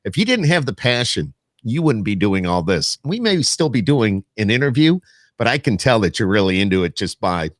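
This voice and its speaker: Male podcast host, middle-aged, lowish pitch, dry close sound, loose teasing chat. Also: sing-song, normal speed, dry recording. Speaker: podcast host interviewing musicians. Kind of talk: casual interview chat.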